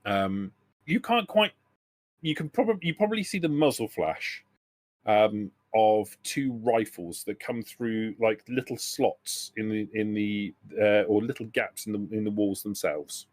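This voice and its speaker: A man's voice: fairly low pitch, no room sound. A man narrating a tabletop game as game master, improvising and often rephrasing as he goes. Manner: hesitant, halting, often rephrasing.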